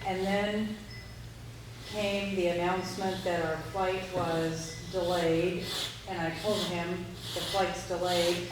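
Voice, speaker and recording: An American woman, middle-aged or older, telling a personal recollection to a group in measured steps. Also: fairly low pitch. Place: echoey meeting room. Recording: very echoey, distant, noisy room.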